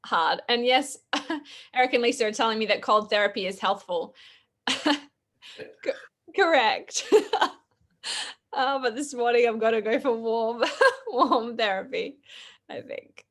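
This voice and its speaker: A woman with an Australian accent hosting a live podcast chat over a video call, her voice a bit croaky, chatty and quick to laugh. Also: on the high side. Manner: chatty, laughs often, fillers. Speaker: podcast host. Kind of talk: casual live-stream chat.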